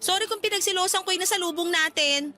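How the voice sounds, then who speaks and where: A woman's voice: very high-pitched, quick pace, pushed and bright, faint music behind. A woman playing a jealous, hurt, defensive wife in a Filipino radio drama, arguing and pleading with a Filipino accent. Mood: defensive, irritated. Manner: argumentative, pleading.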